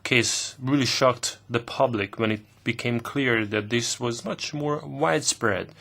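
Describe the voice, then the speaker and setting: A male interpreter with a mid-pitched voice that has a gravelly, creaky texture. He keeps a steady, formal delivery as he renders a committee member's speech into English. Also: a little slow. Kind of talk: simultaneous interpretation.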